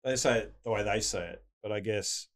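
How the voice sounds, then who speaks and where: A man with a deep voice, a guest in a casual interview, explaining technology at length with everyday analogies. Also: brisk. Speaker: guest, tech business owner.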